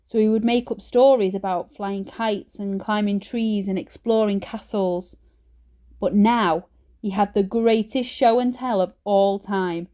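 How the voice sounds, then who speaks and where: A woman narrating a children's book like a teacher reading aloud, with expressive, emphatic phrasing. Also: medium pitch, measured pace.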